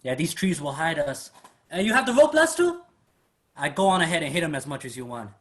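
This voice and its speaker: A man's voice: a little high, sing-song, fairly quick. Man acting a gleefully cruel thug, swooping voice. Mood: gleeful, menacing. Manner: taunting, chuckling menace. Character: sadistic racist vigilante thug, gleefully cruel. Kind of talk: staged reading acting. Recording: virtual live event recording.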